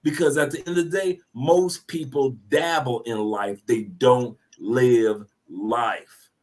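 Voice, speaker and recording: A man's voice: mid-range voice, slow, a little mellow. A man in his fifties lecturing emphatically in a live discussion, repeating his points for force. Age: 50s. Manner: preachy, emphatic, repeats phrases. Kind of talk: passionate call-in discussion.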